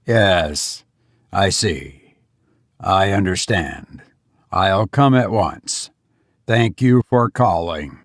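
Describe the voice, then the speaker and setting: A man's voice: low-pitched, drawn-out words, long pauses, no room sound, clean studio sound, pitched below the performer's own voice, exaggerated voice. A man voicing a weary, grief-stricken doctor and father in an audiobook, subdued and restrained. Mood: quiet, controlled. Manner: monotone, subdued, tired. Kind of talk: dramatic audiobook character acting.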